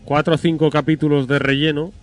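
A man's voice: fairly high pitch, level tone, fairly quick. Man, podcast co-host, blunt, skeptical, casual, faint background music. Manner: blunt, swears, skeptical, laughs often. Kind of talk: casual gaming chat.

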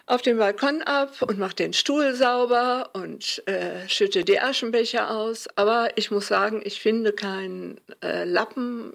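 Gravelly, husky woman talking off the cuff. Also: mid-range voice, fairly bright tone. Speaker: hotel project guest. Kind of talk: casual spontaneous talk.

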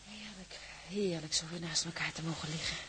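A woman playing a gentle, devoted young sailor's wife in a radio drama, speaking in a soft, homely, intimate way. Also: low-pitched, brisk, heavy background noise, natural voice. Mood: tender, content. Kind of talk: Dutch radio drama acting.

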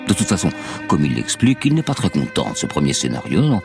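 A man's voice: rumbling low, huge pitch swings, fast, gravelly. A man narrating a scripted radio documentary as its host, telling the story dramatically and posing rhetorical questions. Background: music under the voice.

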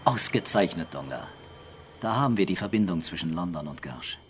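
An actor playing a softly stubborn, intuitive police commissioner in a German radio crime drama, insistent yet understated. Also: male voice, low-pitched, lively intonation, brisk, a little hiss or hum. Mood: quietly triumphant.

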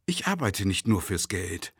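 An actor playing a tormented, self-doubting man who narrates a radio drama monologue in a brooding, intense way, with a gravelly, husky voice. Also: deep voice, big pitch swings, unhurried, a bit bright, dry recording, studio quality, heavily acted. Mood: anguished, defensive. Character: tormented, self-doubting, impoverished male travelling lecturer. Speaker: first-person narrator.

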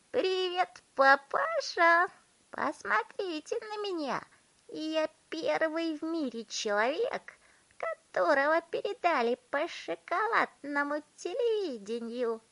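Storybook shrunken boy, voiced by a woman: thin, squeaky, very high, cheeky and boastful. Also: very animated, slow, sharp tone, exaggerated voice. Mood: gleeful, boastful.

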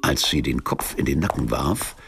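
A man narrating a literary radio crime drama in a measured, deadpan manner, as a cool, sardonic storyteller. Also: a bass voice, vocal fry. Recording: music under the voice.

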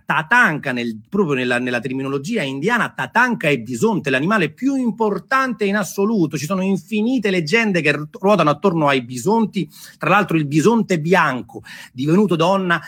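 Male theatre storyteller, high-pitched and raspy, delivering emphatic dramatised history with repetitions and stretched key words. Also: sharp tone.

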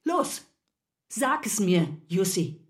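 A woman's voice: mid-range voice, very animated, takes it slowly. Weary, reproachful mother. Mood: worried. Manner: scolding, worried. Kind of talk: German radio play dialogue.

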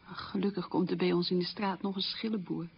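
An actress playing a frugal, middle-aged divorced woman haunted by wartime poverty, confiding and explaining herself in a radio play monologue, with a husky, creaky voice. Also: lowish voice, steady tone, fairly quick, exaggerated voice. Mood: earnest, rueful. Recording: dull lo-fi old radio recording.

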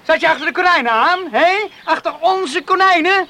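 An actor in a Dutch radio play as a blunt, commanding countryman, quick-tempered but tender with his sweetheart. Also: very high voice, sharp tone, a little hiss or hum, heavily acted. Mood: angry, accusing.

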